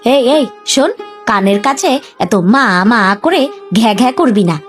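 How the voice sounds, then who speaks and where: A woman's voice: on the high side, animated, unhurried, faint music behind. A woman voicing a cruel, sarcastic village stepmother in a cartoon, nagging and taunting with sneers. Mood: scornful. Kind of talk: Bengali cartoon voice acting.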